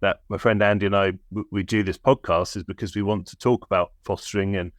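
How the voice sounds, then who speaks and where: A man's voice: low voice, steady tone, fairly quick, dry recording, clean studio sound. A man hosting a podcast, asking questions in a chatty way and hedging with fillers. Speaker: podcast host, foster carer. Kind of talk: conversational interview.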